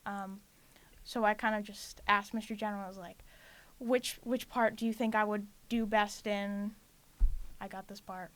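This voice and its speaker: Female, late teens, medium pitch. Student actor giving casual, slightly hesitant interview answers. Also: flat delivery, moderate pace.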